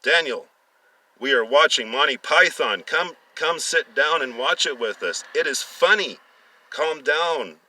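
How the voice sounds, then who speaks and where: A man's voice: a little high, lively intonation, unhurried, bright, forceful tone, far from the mic, voice pushed up, exaggerated voice, low-quality recording. A man voicing a wife and mother in a story, coaxing her angry husband. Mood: amused, coaxing.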